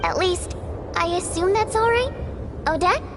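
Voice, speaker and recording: A woman playing a lively, sharp-tongued young female theater star in a video game, expressive and bossy. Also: very high voice, unhurried, noisy background. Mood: upbeat, reassuring. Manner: expressive, exclamatory.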